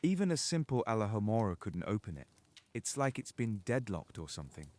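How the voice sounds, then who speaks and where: A man's voice: fairly low pitch, sing-song, brisk, a little mellow. A man playing a serious, secretive character in a fan audio drama. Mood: guarded.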